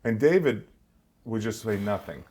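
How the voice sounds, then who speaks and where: A man hosting a casual chat, bantering and jumping in with questions in an excitable way. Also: fairly low pitch, normal speed, a loud crowd. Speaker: podcast host and amateur stand-up comic.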